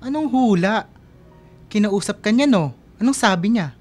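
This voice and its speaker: Lovesick young man, earnest, halting, pleading delivery, radio drama, faint music bed. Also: sits high, expressive. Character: lovesick, anxious young man calling his best friend from abroad. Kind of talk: radio drama acting in casual Filipino talk.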